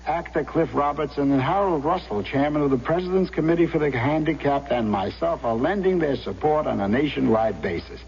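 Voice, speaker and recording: A man's voice: fairly high pitch, expressive, fairly quick, vocal fry, few pauses, a little mellow. A man making an earnest, scripted public service appeal on old radio. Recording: muffled old radio with hiss, hum.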